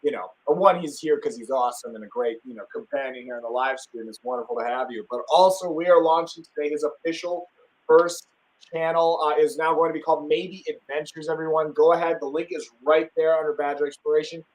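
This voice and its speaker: Excitable Wisconsin stream host, slightly high voice. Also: male voice, brisk, poor audio. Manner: enthusiastic, rambling with fillers and restarts. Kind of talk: casual live stream chat.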